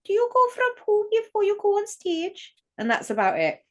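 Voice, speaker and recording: A woman's voice: extremely high pitch, huge pitch swings, fairly quick, dull audio. A woman from West London with a mixed London accent, co-hosting a casual podcast chat. She talks chattily, laughs easily and throws in jokey asides. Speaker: podcast co-host.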